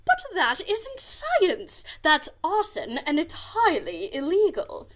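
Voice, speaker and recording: A woman's voice: very high voice, wildly swooping pitch, takes it slowly, voice pushed up, heavily acted. A woman putting on a mock-posh, prim female pony in an audio drama, with affected, exaggerated delivery. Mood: prim scolding. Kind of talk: fan audio drama acting.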